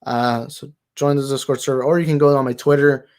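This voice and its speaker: A young man hosting a movie talk livestream, tired and slightly raspy, rambling and thanking his viewers. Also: mid-range voice, steady tone, fairly quick, darkish tone. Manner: rambling, grateful, repeats himself. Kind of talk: casual livestream sign-off chat.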